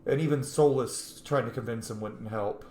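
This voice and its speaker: A man's voice: medium pitch, normal speed, darkish tone. A man giving informal gameplay commentary, thinking aloud as he role-plays and sometimes swearing. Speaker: game streamer, role-player. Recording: roomy echo, faint background noise.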